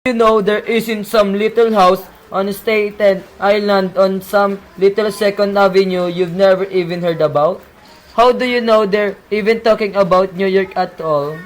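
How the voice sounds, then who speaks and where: A man's voice: sits high, steady tone, moderate pace, natural voice. A man playing a patient but dismissive police sergeant in an amateur radio play, placating and matter-of-fact. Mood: skeptical.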